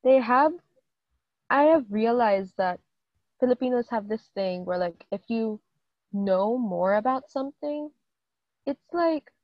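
Lively young woman from Hong Kong, muffled audio. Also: fairly high pitch, measured pace, pauses often, no room sound. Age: late teens. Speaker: co-host, sister. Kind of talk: casual chat.

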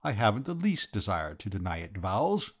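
A man voicing a flustered young gentleman, defensive yet meek and polite, in a comic storybook reading. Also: lowish voice, very animated, a little fast, slightly rough, exaggerated voice. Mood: sheepish.

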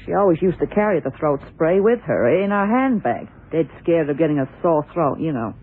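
Husky, frank theatre dresser. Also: female voice, low-pitched, big pitch swings, fairly quick, full character voice. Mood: explaining. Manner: candid, blunt, colloquial. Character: frank, hard-bitten female theatre dresser. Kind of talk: 1970s radio crime drama acting. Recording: tinny, band-limited old radio recording.

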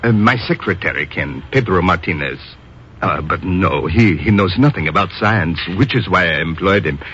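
A grave, courteous laboratory doctor speaks low and formally in a 1950s radio play. He is earnest and measured. The old recording is muffled, with light hiss. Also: animated, a bit bright. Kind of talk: 1950s radio drama acting.

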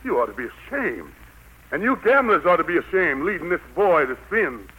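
A man playing the Lord as a gentle but stern, folksy preacher-like figure with a Southern US accent, in 1940s radio drama style. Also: a little high, very animated, a little fast, husky, full character voice, low-quality recording. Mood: scolding. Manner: folksy, admonishing. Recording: vintage 1940s radio broadcast.

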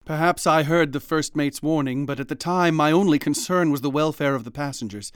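An actor playing an earnest, haunted ship's doctor in a radio drama, speaking in a formal, old-fashioned manner. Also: male voice, on the high side, fairly quick, slight background noise, close to an everyday voice. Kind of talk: radio drama acting and narration.

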